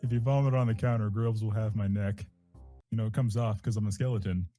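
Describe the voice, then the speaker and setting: A man's voice: lowish voice, fairly even intonation, quick pace, mellow, soft background music, close to an everyday voice. A man voicing a laid-back, dryly grumbling skeleton character in a fan fiction reading. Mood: dry, teasing. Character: laid-back, wry male skeleton, grumbling but caring.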